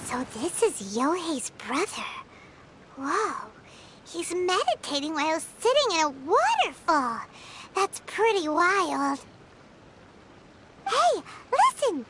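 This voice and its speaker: A woman voicing a tiny, excitable fairy companion in a game, exclaiming at everything. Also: very high-pitched, slow pace, pauses often, slight background noise, full character voice. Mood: excited. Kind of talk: game voice acting.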